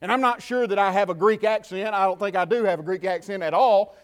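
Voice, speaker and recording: A man with a Southern US accent preaching a sermon from the pulpit, building to fervent shouts and dropping to quiet talk. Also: sits high, fast, clean tone. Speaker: pastor. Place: church.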